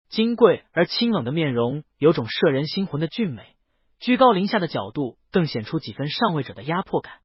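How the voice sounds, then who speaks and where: A man narrates fiction dramatically, his fairly high voice swinging widely in pitch. The sound is very dry and slightly dull, and the voice has a husky edge. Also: dry recording. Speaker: audiobook narrator. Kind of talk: dramatic scripted story narration.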